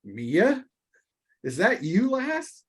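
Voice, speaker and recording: A man playing a folksy village blacksmith, higher-pitched. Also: wildly swooping pitch, drawn-out words, husky, full character voice. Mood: surprised, familiar. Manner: folksy, avuncular, old-fashioned phrasing. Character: friendly powerful bearded human village blacksmith, folksy.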